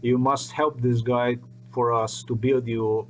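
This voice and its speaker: A middle-aged man speaks unscripted into a desk webcam, circling back and repeating his phrases in an earnest, rambling way. His voice sits at an ordinary pitch for a man. A faint electrical hum runs under the small indoor room. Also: level tone, takes it slowly, poor audio. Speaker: vlogger. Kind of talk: unscripted monologue to camera.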